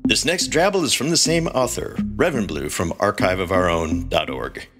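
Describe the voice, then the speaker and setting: A man's voice: lowish voice, animated, normal speed. A man co-hosting a podcast with scripted banter and announcements. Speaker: podcast co-host. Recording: plucked guitar music behind.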